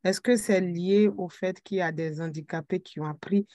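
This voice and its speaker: A woman's voice: lowish voice, steady tone, moderate pace, dark tone, no echo, clean studio sound. A woman moderating a webinar interview, thanking guests and asking questions in a polite, formal way.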